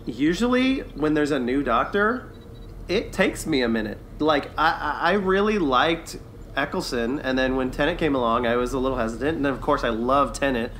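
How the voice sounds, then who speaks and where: A man's voice: mid-range voice, animated, moderate pace, fairly bright tone. Chatty man with background noise. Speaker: podcast co-host. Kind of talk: casual review chat.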